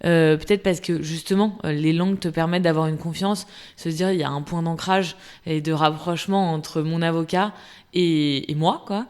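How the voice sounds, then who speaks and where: A woman's voice: deep voice, fairly even intonation, normal speed, darkish tone, clear, resonant tone. A woman hosting an interview, asking questions in a friendly way and checking her recall of what her guest has told her. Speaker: podcast host.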